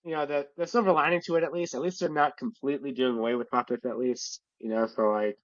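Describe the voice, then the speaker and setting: A podcast co-host chatting casually, agreeable and easygoing, sounding congested from a lingering illness. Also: male voice, mid-range voice, fairly even intonation, quick pace, no room sound, lo-fi sound. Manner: easygoing, agreeable, lots of fillers. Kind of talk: casual chat.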